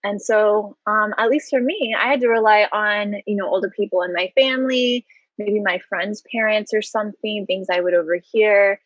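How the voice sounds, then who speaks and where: A woman's voice: mid-range voice, moderate pace, no room sound. A woman hosts a casual interview and greets each answer with eager exclamations. Her voice comes through a slightly dull, muffled recording with a dry, close sound. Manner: enthusiastic, frequent exclamations. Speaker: host.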